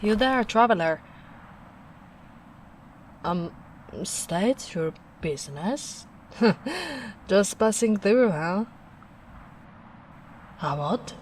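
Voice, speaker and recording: A woman playing a gentle, caring big ogre in a soft-spoken ASMR roleplay, musing in an unhurried way. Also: medium pitch, very animated, a little hiss or hum. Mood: concerned.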